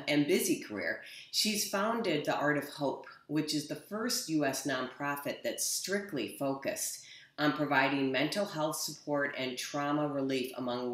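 A woman's voice: extremely low pitch, fairly bright tone, reverberant. Middle-aged woman introducing a guest. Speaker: event host. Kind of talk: reading an introduction. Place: office.

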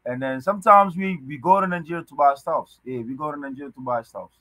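Young man, from Ghana, podcast guest, video call, halting casual talk, dry close sound. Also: on the high side, bright, forceful tone, dry recording. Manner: halting, many restarts and fillers, loose slangy talk. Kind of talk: casual conversation. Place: indoors, small room at home.

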